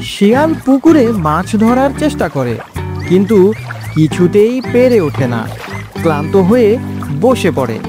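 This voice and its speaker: A woman's voice: medium pitch, big pitch swings, a little slow, music in the background. A woman narrating a scripted children's story as the storyteller.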